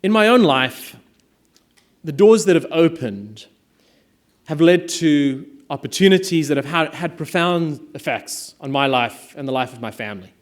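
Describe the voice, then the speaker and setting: A man's voice: a little high, expressive. South African man giving a keynote. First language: Afrikaans. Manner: measured, emphatic, with deliberate pauses. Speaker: conference speaker. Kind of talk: prepared keynote speech.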